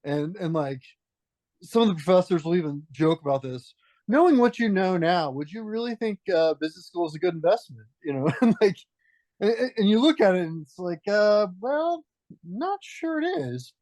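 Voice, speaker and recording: A man talks as a podcast guest, working through his story with frequent false starts and restarted phrases. His voice sits a bit high for a man. The sound is close and dry, with no room echo. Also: animated, normal speed, darkish tone. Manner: hesitant, restarts phrases often. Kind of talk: reflective conversational storytelling.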